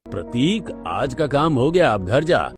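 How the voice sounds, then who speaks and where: A man's voice: medium pitch, big pitch swings, unhurried, faint music behind. A man voicing a kind, concerned middle-aged factory boss in a cartoon story, plain and caring as he instructs.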